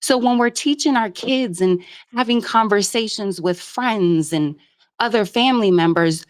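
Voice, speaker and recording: A woman from Jamaica calling in to a live show, speaking earnestly and at length, with many pauses and "um"s. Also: medium pitch. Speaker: caller. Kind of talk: casual call-in commentary.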